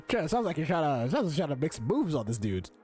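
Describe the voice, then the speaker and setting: A man's voice: on the high side, very animated, moderate pace, a little mellow, faint music behind. A man giving casual, slangy, incredulous commentary as he streams a game, swearing freely. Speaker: streamer reacting to a game story.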